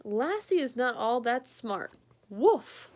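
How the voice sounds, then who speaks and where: A woman's voice: on the high side, very animated, takes it slowly, a little mellow. A woman voicing a bossy, chatty family dog in a picture book, cheeky and emphatic.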